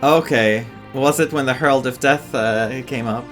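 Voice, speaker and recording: A man's voice: mid-range voice, moderate pace, music in the background. A man giving casual, rambling, reactive commentary as he streams a game playthrough. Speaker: gaming streamer.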